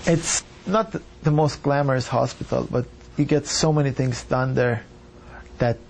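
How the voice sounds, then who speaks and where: A doctor from Iran telling his story in an earnest, measured way. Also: mid-range voice, steady tone, vocal fry, a little mellow, a little hiss or hum. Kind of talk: reflective personal account.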